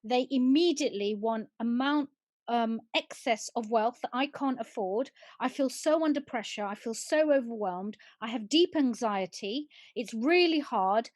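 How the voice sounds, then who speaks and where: A woman hosts a live panel and poses careful questions to her guests. Her voice sits a little high. The sound is dry and close. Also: no room sound. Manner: measured, questioning, quotes comments. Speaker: host.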